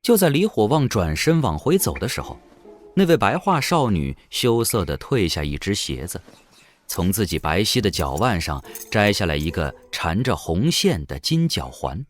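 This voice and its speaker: A man's voice: lowish voice, big pitch swings, normal speed. A man reading scripted, dramatic narration for a fantasy audio drama.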